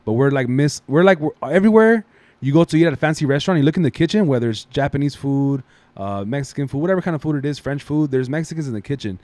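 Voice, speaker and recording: A man's voice: a little high, lively intonation, fast, a little mellow, dry recording. A man from Los Angeles, guesting on a casual podcast, laid-back and full of slang.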